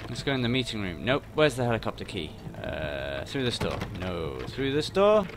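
A man's voice: lowish voice, big pitch swings, moderate pace, background noise. A man giving casual, jokey gameplay commentary, chatting to the characters as he goes. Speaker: video game streamer. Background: game sounds and music.

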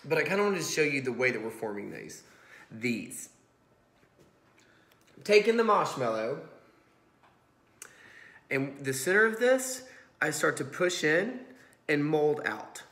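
A man's voice: on the high side, big pitch swings, unhurried, long pauses, fairly bright tone. A man in his thirties hosting a casual cooking vlog, explaining as he goes with a self-deprecating streak. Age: 30s. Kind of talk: casual chatty cooking commentary. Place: home kitchen, indoors. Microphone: iPhone propped on a stack of books. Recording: roomy, echoing kitchen sound.

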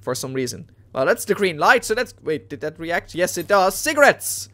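A man's voice: high-pitched, sing-song, moderate pace, pushed and bright. A man in his thirties with a Dutch accent, playing a video game on a headset mic at home, thinking aloud and reading game text. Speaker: gaming video host. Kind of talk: let's play commentary. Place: home room at a desk.

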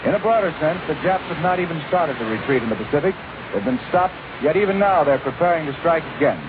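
A man giving a formal, deliberate wartime news report as a 1940s overseas radio correspondent. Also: fairly high pitch, phone-quality sound. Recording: noisy, thin 1940s shortwave relay.

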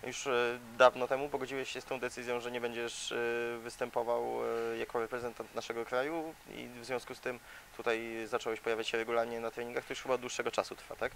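A man's voice: medium pitch, flat delivery, fast talker, vocal fry, fairly bright tone, a little hiss or hum, rough recording. A male sports interviewer asking long, leading questions with frequent fillers, recorded in an indoor gym.

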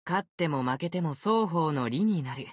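A man's voice: fairly high pitch, lively intonation, normal speed, husky, no room sound, clean studio sound. A man voicing an easygoing, unfazed ancient sword spirit in youthful male form for a game, with languid, nonchalant phrasing. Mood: airy, nonchalant. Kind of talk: Japanese game character voice acting.

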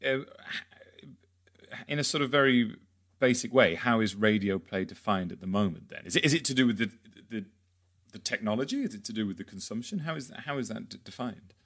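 A man's voice: on the low side, lively intonation, brisk, a bit bright. A man hosting a music industry podcast, reading a subscription plug and asking questions casually. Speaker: podcast host. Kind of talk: podcast interview and ad read.

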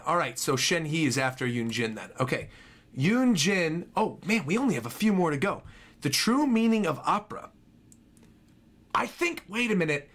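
Enthusiastic young man, lively slightly husky voice. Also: high voice. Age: young adult. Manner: enthusiastic, repeats phrases. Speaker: streamer reacting to game trailers. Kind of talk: casual reaction commentary. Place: small home room, indoors. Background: game trailer music.

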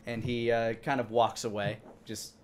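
A man narrating a tabletop roleplaying game as game master, improvising descriptions in a halting, detailed way. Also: mid-range voice, flat delivery. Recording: clearly audible background noise.

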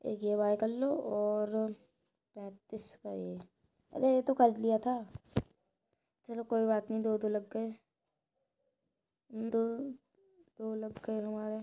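A woman's voice: fairly high pitch, little pitch movement, slow pace, creaky, long pauses, warm tone. A woman giving casual, chatty gameplay commentary, addressing viewers informally like friends. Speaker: gaming video creator. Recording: very noisy phone recording with clicks.

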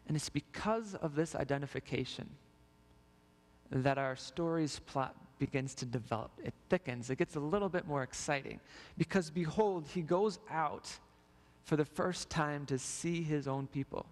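A man's voice: a little high, normal speed. Young man preaching in a reverberant sanctuary. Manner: storytelling, walks the stage while preaching. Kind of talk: sermon. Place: large church sanctuary stage. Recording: long reverb of a church hall.

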